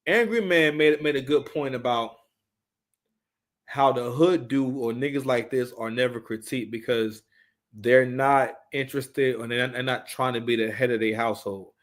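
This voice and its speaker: A man's voice: mid-range voice, normal speed. A man in his thirties giving casual, unscripted live commentary, talking emphatically, repeating his phrases and swearing freely. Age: 30s.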